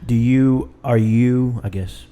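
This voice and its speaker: A man's voice: medium pitch, fairly even intonation, slow pace, warm tone. A thirty-year-old man hosting a podcast, chatting in a laid-back way with many fillers and trailing thoughts. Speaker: podcast host. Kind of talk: casual chat.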